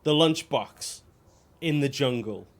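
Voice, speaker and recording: A man streams a video game and talks casually as he plays. His delivery is rambling and anecdotal, with dry asides, at an ordinary mid-range pitch. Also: lively intonation, slow. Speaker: game livestreamer. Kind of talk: casual chat while playing a video game.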